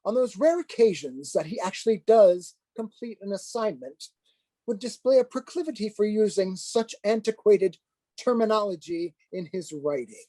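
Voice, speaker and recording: A man's voice: sits high, expressive, warm tone, no room sound. Stern, dryly sardonic priest played by a man. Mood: probing. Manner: formal, measured, lecturing. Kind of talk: dramatized play script reading.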